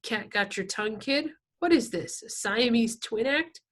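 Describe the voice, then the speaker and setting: A woman's voice: a little high, expressive, measured pace, fairly bright tone, voice pushed up. A woman voicing a hulking biker boss in a story, curt, bullying and mocking. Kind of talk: audiobook character dialogue.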